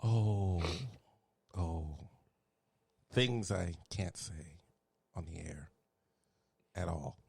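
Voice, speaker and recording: A man's voice: sits low, drawn-out words, vocal fry, long pauses, darkish tone, breathy edge, no room sound. A man from Houston hosting a casual podcast chat, laid-back and jokey, laughing often. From Houston, Texas. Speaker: podcast host.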